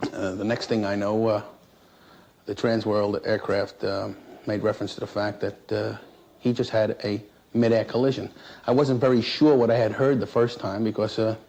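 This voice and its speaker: Low, mellow-voiced man in an old interview. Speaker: air traffic controller being interviewed. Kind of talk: recounting a work experience in an interview. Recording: noisy 1960s interview recording.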